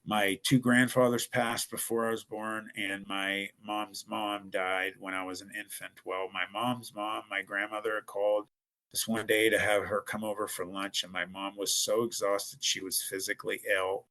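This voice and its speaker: This is a podcast guest, a man from Ohio, telling his story in an earnest, rambling way. His voice sits low with a strong gravelly fry. The tone is bright and forward. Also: flat delivery, normal speed, low-quality recording. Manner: earnest, rambling, with frequent restarts. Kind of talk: casual storytelling.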